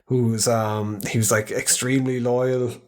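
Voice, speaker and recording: A man co-hosting a podcast, chatting casually in a rambling, animated way and often swearing. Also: mid-range voice, normal speed. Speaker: podcast co-host. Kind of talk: casual chat.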